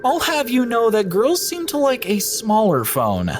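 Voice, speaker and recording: A man's voice: wildly swooping pitch, unhurried, slight background noise, exaggerated voice. An actor playing a brash, cocky young man sneaking onto a government base, shrugging off any worry. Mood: joking. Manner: brash, dismissive, jumpy pitch. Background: music.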